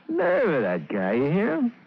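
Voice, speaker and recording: A man's voice: sits high, vocal fry, exaggerated voice. An actor playing a boastful small-time young punk with an American accent in a 1950s radio drama, eager and hesitant, tacking nervous questions onto his talk. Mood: indignant bravado. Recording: dull, faintly noisy 1950s radio recording.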